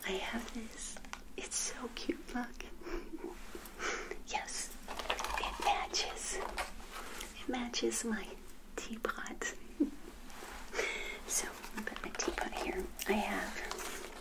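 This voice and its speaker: Older woman whispering slowly, ASMR. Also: fairly high pitch, fairly even intonation. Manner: gentle, hospitable, repeats phrases softly. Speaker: ASMR host playing a friendly witch.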